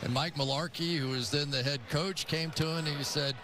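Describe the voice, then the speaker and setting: A man with a slightly high voice gives football color commentary in a conversational, storytelling style. Clear stadium noise runs behind him in the broadcast audio. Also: steady tone, a little fast. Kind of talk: conversational football analysis and anecdotes. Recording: noisy game broadcast audio.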